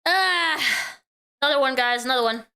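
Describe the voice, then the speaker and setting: Bright, sarcastic high female host. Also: moderate pace, clean tone. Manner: sarcastic, mocking. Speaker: vtuber host reacting to a clip. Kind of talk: reaction commentary.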